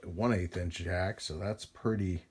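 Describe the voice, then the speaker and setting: A man's voice: low voice, fairly even intonation, a little slow, a little mellow, husky. A man hosting a casual hands-on demonstration of vintage radio gear, talking in a rambling, folksy way with hesitations as he works. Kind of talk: casual hands-on vlog narration.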